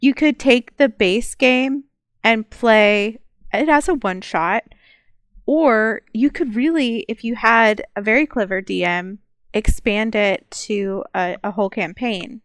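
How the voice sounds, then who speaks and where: A woman giving her opinion in a casual discussion, measured and approving. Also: fairly high pitch, smooth, dry recording.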